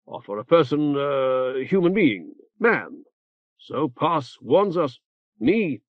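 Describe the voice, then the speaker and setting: Narrator doing a dithery old priest guy, higher voice, lots of eh and uh, dull dry audio. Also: very animated, no echo. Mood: grave. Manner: halting, full of "eh" and "uh", clipped phrases. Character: fussy elderly male cleric, rambling and hesitant.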